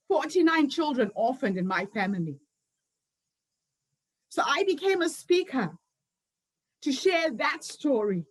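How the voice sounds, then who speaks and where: A woman in her fifties speaks in a notably high voice. She delivers an earnest motivational talk as a summit founder, weaving personal stories through it. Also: rough recording. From Zimbabwe. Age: 50s. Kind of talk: motivational speech.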